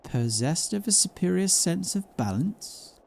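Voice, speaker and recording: A man reading game text aloud as a measured, scholarly narrator of beast lore, in a formal manner. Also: high-pitched, sing-song, creaky, darkish tone, heavily acted.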